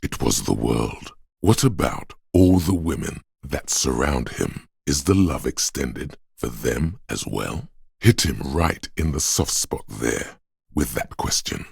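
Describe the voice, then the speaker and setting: A very deep, gravelly inner voice. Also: male voice, expressive, slow pace, no echo, studio quality, heavily acted. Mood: tender, knowing. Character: inner voice of empathy, tender, perceptive, knowing adult male. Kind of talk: scripted game narration, second-person commentary.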